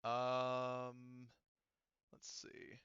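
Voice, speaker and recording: A man narrating a tabletop roleplaying game as game master in a casual, halting way, thinking aloud as he goes. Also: medium pitch, completely flat delivery, a bit bright, clear, resonant tone, dry recording, dull audio.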